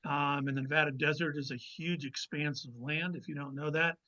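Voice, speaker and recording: A man speaking as a guest author in a conversational interview, explaining things in a measured way with pauses to find his words. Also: on the high side, flat delivery, sharp tone, muffled recording. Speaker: guest novelist.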